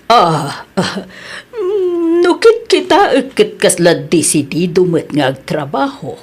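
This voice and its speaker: A Filipino woman playing a patient but firm boss in an audio drama, measured, often humming thoughtfully before she answers. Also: mid-range voice, big pitch swings, husky, exaggerated voice. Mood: calm, probing. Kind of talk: acted audio comedy-drama dialogue.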